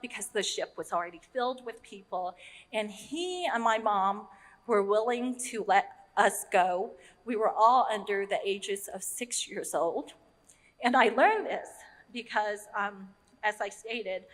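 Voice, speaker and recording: A woman's voice: mid-range voice, a bit bright. Earnest woman in an echoing hall. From Vietnam. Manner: earnest, halting with frequent ums. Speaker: nonprofit leader on a panel. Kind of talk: personal story told to an audience. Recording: long hall reverb.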